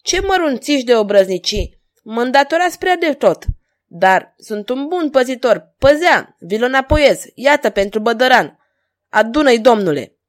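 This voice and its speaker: Woman acting a bold, mocking young swordsman, loud. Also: on the high side, expressive, voice pushed up, lightly acted. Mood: mocking, triumphant. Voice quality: biting, harsh ringing voice. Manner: taunting, theatrical exclamations.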